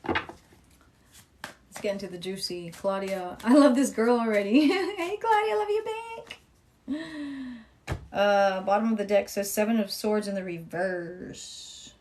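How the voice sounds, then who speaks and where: A young adult woman giving a casual live tarot reading, with a calm, soothing voice, chatting, swearing freely and reading viewer comments aloud. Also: medium pitch, takes it slowly, pauses often. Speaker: tarot reader live-streaming.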